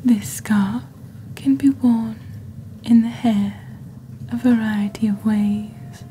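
A young woman playing a genteel witch shopping-channel host in soft-spoken ASMR, with a breathy near-whisper and a deliberate, gently formal delivery. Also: fairly high pitch, fairly even intonation, slight background noise. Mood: soft, soothing. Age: young adult. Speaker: ASMR performer.

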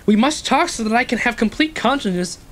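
An actor playing an anxious, educated ex-convict who justifies himself in a halting, earnest stage-play delivery. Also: male voice, very high-pitched, lively intonation, fairly bright tone. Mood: agitated, insistent. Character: guilt-ridden educated ex-convict man, anxiously self-justifying. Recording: clearly noisy, rain-like ambience behind.

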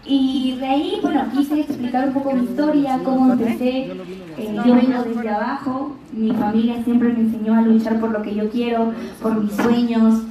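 A woman's voice: fairly high pitch, flat delivery, normal speed. Young woman, slight Argentine accent, echoey hall. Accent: Argentine Spanish, slight. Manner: earnest, encouraging, speaks straight to the crowd. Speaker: actress speaking at a fan event. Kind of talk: talking to a live audience. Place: large auditorium stage. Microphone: handheld mic, recorded from the audience on a phone. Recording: distant, echoey auditorium audio from a phone. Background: crowd ambience.

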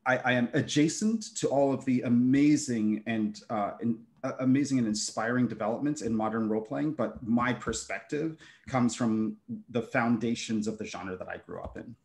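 A man's voice: mid-range voice, moderate pace, hardly any pauses, darkish tone. A middle-aged man whose first language is German, a game designer speaking at a panel over a video call from a room at home, hesitant, full of fillers and restarts. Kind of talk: conversational panel talk.

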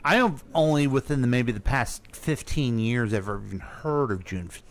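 A man's voice: mid-range voice, expressive, normal speed. Joking American man co-hosting radio. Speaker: radio co-host. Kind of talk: casual banter.

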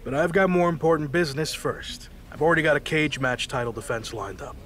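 An actor playing a dry, teasing champion pro wrestler in a video game, speaking with sarcasm. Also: male voice, a little high, brisk, fairly bright tone, slight background noise, lightly acted. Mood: wry. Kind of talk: scripted video game dialogue.

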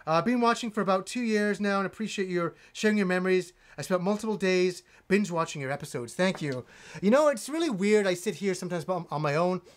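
A man in his forties, a gaming channel host, reading donations on a live stream with lively enthusiasm and rambling restarts, in a room with game shelves. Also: high-pitched, fairly quick. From Yorkshire, England, raised in Canada. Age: 40s.

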